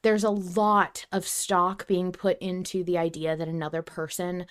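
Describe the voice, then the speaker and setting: A woman's voice: fairly low pitch, level tone, unhurried, clean tone. Emphatic woman podcast co-host. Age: 30s. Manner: emphatic, earnest, talks in bursts. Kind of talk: casual fan discussion podcast.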